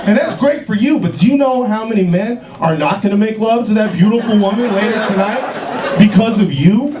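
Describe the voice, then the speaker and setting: A man's voice: high voice, brisk, darkish tone. A man in his late twenties doing a stand-up set in a comedy club, chatty and riffing with the crowd. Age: late 20s. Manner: conversational, riffing, crowd-work. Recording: roomy club from a distance, crowd laughter. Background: audience laughter.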